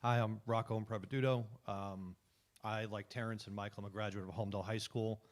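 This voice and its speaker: A man's voice: on the low side, fairly even intonation, quick pace. A middle-aged man running for local office, speaking appreciatively and chattily to a panel. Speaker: local candidate. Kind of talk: casual remarks to a panel.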